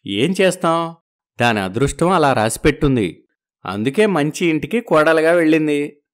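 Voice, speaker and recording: Man playing an old grandfather, pragmatic, matter-of-fact, fairly high male voice, moral story. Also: very animated, moderate pace. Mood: resigned. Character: pragmatic old grandfather pushing his favourite granddaughter's match.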